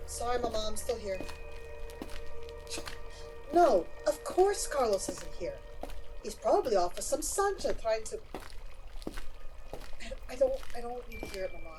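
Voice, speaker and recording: A woman's voice: fairly high pitch, sing-song, unhurried, pauses often, over music, low-quality recording. A woman playing a weary, protective young mother in an audio drama. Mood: weary, exasperated.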